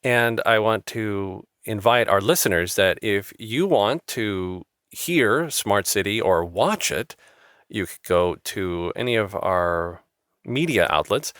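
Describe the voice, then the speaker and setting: Low-voiced halting male podcast host. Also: animated, a bit bright, no room sound, clean studio sound. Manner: halting, many restarts and fillers. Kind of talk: interview chat.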